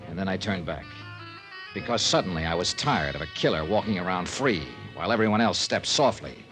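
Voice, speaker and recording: A man's voice: deep voice, expressive, brisk. An American actor playing a hard-boiled, sardonic insurance investigator who narrates his case in clipped 1950s radio style. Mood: angry, determined. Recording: vintage radio recording with music.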